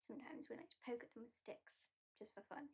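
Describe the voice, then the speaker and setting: A British woman voicing a haughty, mocking female vampire in an ASMR roleplay, soft-spoken and often whispering. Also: fairly high pitch, fast talker, husky. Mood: languid. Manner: soft-spoken, drifting into whispers, dryly sardonic. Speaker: ASMR performer.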